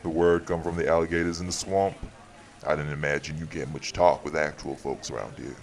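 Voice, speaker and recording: An actor playing a stern, dry-witted community guardian with a formal, sardonic, measured delivery in an audio roleplay. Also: male voice, a bass voice, steady tone, gravelly. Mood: dry, defensive. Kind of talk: audio roleplay acting, dramatic dialogue.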